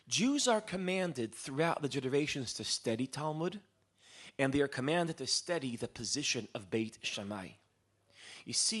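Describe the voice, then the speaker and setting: A man from Israel giving a live lecture as a storyteller, posing rhetorical questions and pausing for dramatic emphasis. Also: mid-range voice, fairly bright tone. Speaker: public speaker, lecturer.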